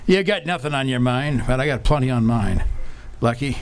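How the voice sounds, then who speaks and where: A man's voice: medium pitch, expressive, normal speed, crowd noise, lightly acted. A man playing a blustering police inspector. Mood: gruff. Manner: blustering, scolding. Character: gruff male police inspector, exasperated. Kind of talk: community theater radio drama.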